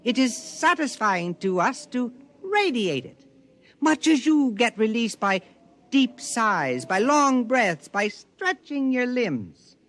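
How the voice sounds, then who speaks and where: An actor in an old-time radio drama playing a calm alien shapeshifter who speaks in formal, deliberate phrases. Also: mid-range voice. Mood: grave explaining. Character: calm alien shapeshifter explaining its kind to humans.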